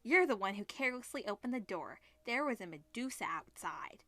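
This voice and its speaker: A woman's voice: a little high, lively intonation, brisk, fairly bright tone, no echo. A woman reading the part of a blunt, cautious male classmate who scolds in a visual novel. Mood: scolding.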